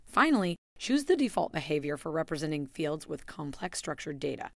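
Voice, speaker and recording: A woman giving a scripted, even voice-over that walks through software steps. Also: sits low, vocal fry, dry recording, clean studio sound. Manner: even, instructional delivery. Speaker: software tutorial narrator.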